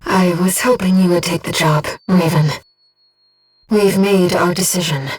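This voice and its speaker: A woman playing a gentle, disembodied female mission operator in a video game, earnest and composed, with clearly enunciated tactical callouts. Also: on the low side, fairly even intonation, a little slow, recorded from a distance. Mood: soft, earnest. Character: gentle disembodied female voice guiding a mercenary pilot.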